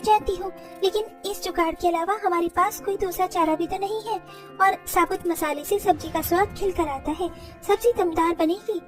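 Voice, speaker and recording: Woman playing young village bahu, confident n resourceful, super high almost girlish pitch, cartoon story, music under. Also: steady tone, normal speed, far from the mic. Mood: brisk, practical. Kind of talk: moral-story cartoon dialogue.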